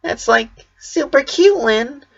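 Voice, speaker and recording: Storybook big sister, played by a man: airy, sweet, high falsetto-like pitch, lo-fi audio. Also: lively intonation, takes it slowly, creaky, fairly bright tone, heavily acted. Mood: gushing. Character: sweet, airy, cheerful ditzy teenage sister. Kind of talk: storybook character voice.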